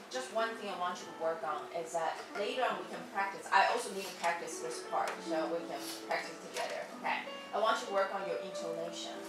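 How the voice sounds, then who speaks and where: A woman teacher giving classroom feedback in a fairly monotone voice, often checking "okay?" as she goes. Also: mid-range voice. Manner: ends many sentences with a checking "okay?". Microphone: far from the mic. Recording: echoey room, far off, loud music behind. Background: loud music.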